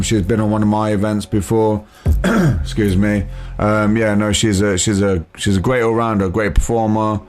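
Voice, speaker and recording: A man hosting a local radio show in a laid-back, chatty way, giving slang shout-outs to artists. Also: fairly low pitch. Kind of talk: casual radio presenting.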